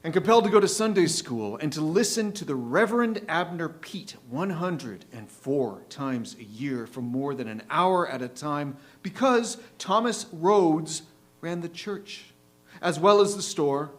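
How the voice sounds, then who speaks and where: A man playing a bitter, worn-out, grey-haired store clerk in a dramatic poetry monologue, halting and weary. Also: a little high, expressive. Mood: weary, resentful.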